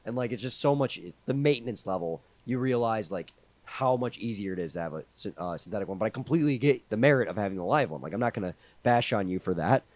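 A hoarse man in his twenties, co-hosting a podcast in casual, joking chat. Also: on the low side, lively intonation, darkish tone, no room sound. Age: 20s. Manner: quick, easygoing, joking. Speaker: podcast co-host.

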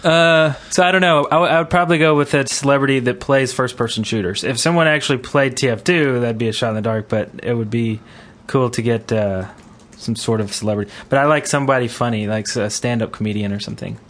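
A man hosting a podcast in casual panel chat, speaking in a hesitant, halting way. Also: medium pitch, steady tone, a bit bright. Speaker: podcast host.

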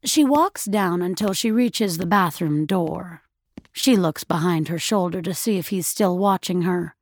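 A woman narrating a dramatic audio story in a measured, deliberate, literary way. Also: lowish voice, a little mellow. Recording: studio narration over faint music.

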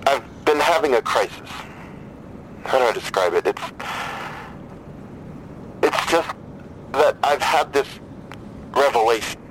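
Audio drama: troubled caller, mid-range voice, halting and bleak, stammering as he broods. Light background rumble. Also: animated, fairly bright tone, low-quality recording. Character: troubled, despairing man phoning for help. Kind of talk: full-cast audio drama dialogue.

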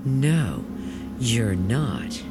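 A man's voice: medium pitch, very animated, very slow, low-quality recording. An actor playing a stern, guarded man in an audio drama, curt and accusing. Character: stern, guarded man who enforces strict rules. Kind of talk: audio fiction acting. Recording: loud music behind the voice.

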